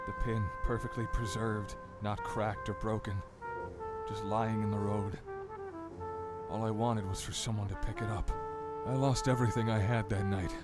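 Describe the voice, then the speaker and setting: A man playing an earnest, persuasive mayoral candidate in an audio drama, speaking with sincere, measured polish. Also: lowish voice, close to an everyday voice.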